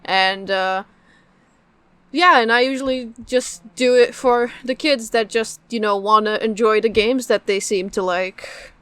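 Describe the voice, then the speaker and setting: Young polish woman chatting in an interview, smooth clear voice, close dry sound. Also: a little high, steady tone, measured pace, fairly bright tone, no room sound. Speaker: guest, game translator and developer. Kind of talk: casual interview answers.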